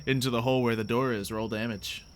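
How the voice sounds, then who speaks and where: A man's voice: mid-range voice, fairly even intonation, quick pace, smooth. A man acting as game master, narrating a tabletop roleplay session in a casual, jokey, informal way.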